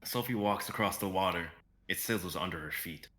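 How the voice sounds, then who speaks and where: A young man narrates a live dramatic script reading. His voice sits fairly low and has a bright, forceful edge. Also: fairly quick. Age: young adult.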